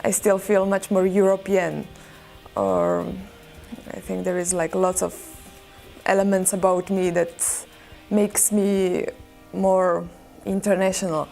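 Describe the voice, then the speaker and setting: A woman giving halting interview answers. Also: on the low side, lively intonation, creaky, darkish tone, faint music behind. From Czech Republic. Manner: slow, halting.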